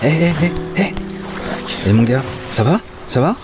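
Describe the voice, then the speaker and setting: An actor playing a tired, anxious dockworker in a fantasy audio drama, with a hesitant, stammering way of talking. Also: male voice, mid-range voice, lively intonation, a little slow, music in the background, natural voice. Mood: alarmed, concerned. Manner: hesitant, stammering, muttering to himself. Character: weary, anxious male dockworker in a fantasy port.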